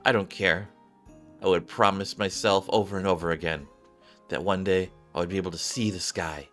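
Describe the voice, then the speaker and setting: Game narrator: earnest young dreamer acted out by a man, wistful storytelling, low-pitched, music behind. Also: fairly even intonation, moderate pace, a bit bright. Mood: earnest, rueful. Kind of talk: read-aloud scripted game narration.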